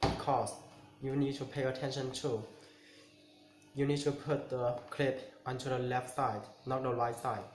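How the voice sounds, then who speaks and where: A man narrating a step-by-step tutorial in short, simple, halting instructions. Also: medium pitch, little pitch movement. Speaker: tutorial presenter. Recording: echoey room, clear noise, clicks.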